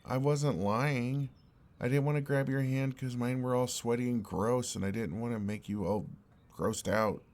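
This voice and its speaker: A young man playing an exasperated, earnest and oblivious best friend in an intimate audio roleplay, speaking in a halting, conversational way. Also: medium pitch, steady tone, a little mellow, natural voice. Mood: flustered, defensive.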